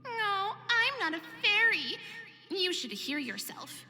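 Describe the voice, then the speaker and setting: Slow, echoing, high-pitched cruel bully girl. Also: big pitch swings, heavily acted. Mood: mocking, hateful. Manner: mocking, drawn-out. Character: cruel, taunting orphanage bully girl, hateful of fairies. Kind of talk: visual novel villain acting. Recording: long reverb, music behind. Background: music.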